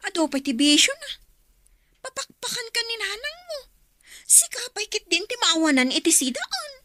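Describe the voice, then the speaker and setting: A woman's voice: very high-pitched, sing-song, normal speed, pushed and bright, no echo, full character voice. A woman acting in an Ilocano radio drama as an outspoken, sympathetic village storekeeper. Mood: indignant sympathy.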